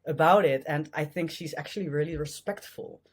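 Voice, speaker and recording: A man in his thirties with a Dutch accent, a guest in a casual interview, speaking English as a second language with halting, hesitant phrasing. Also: fairly high pitch, breathy edge. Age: 30s. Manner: hesitant, many fillers and restarts.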